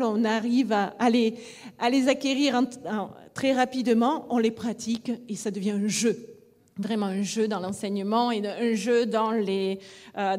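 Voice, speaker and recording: A woman lecturing on phonetics at a conference, her voice sitting a little high. She explains step by step and sounds out rhythms in syllables to make her point. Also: darkish tone. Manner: demonstrative, sings rhythm syllables to illustrate; explanatory, restarts phrases. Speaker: phonetics trainer and school director. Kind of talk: conference talk.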